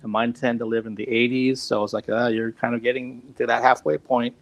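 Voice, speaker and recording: A man's voice: mid-range voice, flat delivery, fairly quick, creaky. A man in his fifties chatting casually on a video call, rambling and self-deprecating and laughing often. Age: 50s. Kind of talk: casual chat.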